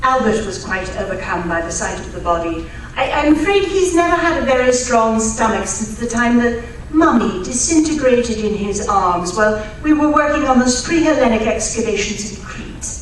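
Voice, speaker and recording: A woman's voice: on the high side, animated, normal speed, natural voice. A woman playing a genteel, eager titled lady archaeologist in an old-time radio drama, polite and earnest. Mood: explanatory. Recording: very echoey hall, distant mic, faint noise.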